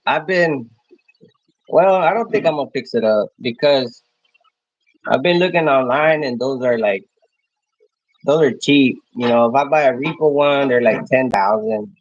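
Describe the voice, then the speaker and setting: A man in his thirties from Mexico chats with viewers on a livestream. He talks in a halting, rambling way and keeps restarting his phrases. The sound is dry and close, with dull, muffled audio. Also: mid-range voice, expressive, no room sound. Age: 30s. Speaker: livestream host. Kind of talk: casual livestream chat answering viewers.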